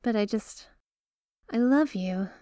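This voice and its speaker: A woman's voice: fairly high pitch, expressive, dark tone, dry recording, clean studio sound. A woman playing a doting, bashful yet possessive young mafia heiress in an intimate ASMR roleplay, speaking to the listener in a hesitant, coaxing way. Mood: earnest, lovestruck. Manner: hesitant, coaxing, affectionate, halting phrasing.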